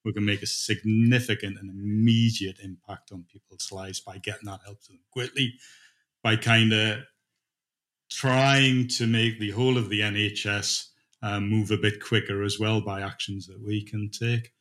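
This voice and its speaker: Low-voiced man, halting delivery. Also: flat delivery, fairly bright tone. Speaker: dispatch manager being interviewed. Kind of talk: informal interview.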